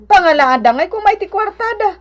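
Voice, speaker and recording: A woman's voice: extremely high pitch, expressive, brisk. A woman acting a haughty, sneering neighbor who looks down on poorer folk in a radio drama. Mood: scornful.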